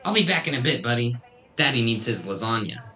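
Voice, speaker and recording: A man's voice: medium pitch, big pitch swings, a little fast, pushed and bright. A man playing a brash, slangy, flippant buddy in a radio drama. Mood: flippant, impatient. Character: brash, flippant young male buddy, food-loving. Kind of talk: scripted radio drama acting.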